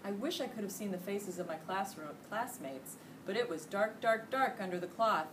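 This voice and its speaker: A middle-aged American woman reading a children's storybook aloud with expressive, emphatic delivery. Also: mid-range voice, normal speed. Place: indoor school classroom. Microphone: distant camera microphone. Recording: distant camera mic, noisy room.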